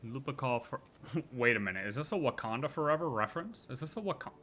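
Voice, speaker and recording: A man's voice: medium pitch, animated, quick pace, sharp tone, slight background noise. A man giving casual live reaction commentary as a game streamer, joking and echoing lines aloud. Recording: game music behind voice.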